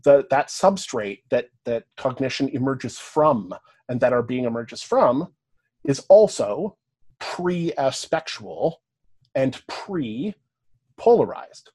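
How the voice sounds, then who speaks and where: A Canadian man talks through ideas on a podcast in a loose, lecture-like way. His mid-pitched voice has a husky grain to it. He keeps checking in with "right?" and lets a curse slip now and then. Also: expressive, takes it slowly, a little mellow. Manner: thinks aloud, often checks in with "right?", occasional swearing. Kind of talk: casual podcast discussion, lecture-like.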